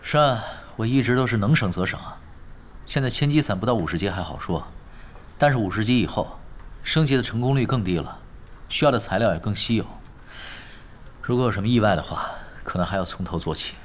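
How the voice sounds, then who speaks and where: An actor playing a laid-back, wry esports veteran with a casual, understated manner in a Chinese audio drama. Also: male voice, on the low side, expressive, fairly quick, slight background noise, close to an everyday voice. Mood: calm, reassuring. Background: music.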